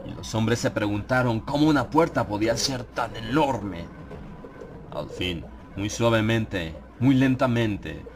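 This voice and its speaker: Low-voiced man narrating horror. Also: background noise. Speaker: audiobook narrator. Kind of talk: dramatized horror audiobook narration. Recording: clear ambient sound effects behind the voice. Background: atmospheric horror sound effects.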